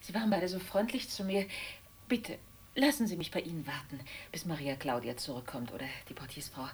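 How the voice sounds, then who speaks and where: An actress playing a flustered, anxious neighbour in a radio play, apologetic and effusively polite. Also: female voice, low voice, a little fast, a little hiss or hum. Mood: pleading. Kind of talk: German radio play acting.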